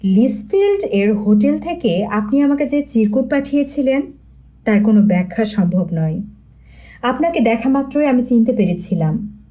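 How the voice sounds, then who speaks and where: Audiobook role: earnest bereaved father, formal and tender, soft dark timbre, voiced by a woman in her high register. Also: animated, normal speed, lightly acted. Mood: earnest, confiding. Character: grieving elderly father, earnest, tender toward his daughters.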